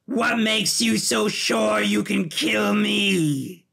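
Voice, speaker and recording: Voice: medium pitch, very slow, vocal fry, fairly bright tone, voice pushed up, full character voice. A man voicing a malicious, icy demon queen in a theatrical, mocking drawl for an audio drama. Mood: alarmed defiance.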